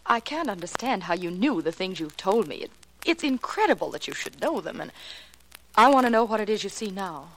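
A woman's voice: mid-range voice, big pitch swings, brisk, fairly bright tone. An actress playing a gullible, eager young lady of means in a 1940s radio drama, earnest and imploring. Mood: eager, awed. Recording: noisy, clicking old radio transcription.

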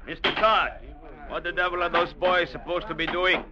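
An actor playing a domineering, sarcastic colonial trading captain in an old radio drama. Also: male voice, sits high, level tone, moderate pace, close to an everyday voice. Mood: bullying, irritated. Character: sneering, bullying colonial trading agent captain, a man. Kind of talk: 1940s-50s radio drama acting. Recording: muffled, hissy old radio broadcast.

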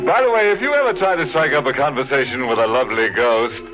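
An actor playing a mocking, sardonic horror host who relishes macabre jokes on a 1940s radio show. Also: male voice, mid-range voice. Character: gleefully morbid, mocking male horror host. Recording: tinny vintage radio, loud music.

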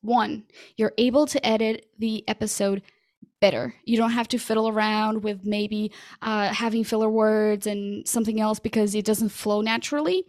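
A woman's voice: medium pitch, fairly even intonation, moderate pace, darkish tone. A woman whose first language is Portuguese, a podcast producer talking eagerly in long run-on stretches as an interview guest. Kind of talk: casual interview chat.

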